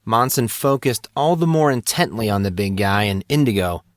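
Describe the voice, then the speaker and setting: A man's voice: on the low side, normal speed, no room sound, studio quality. A man reading scripted fantasy fiction as an audiobook narrator.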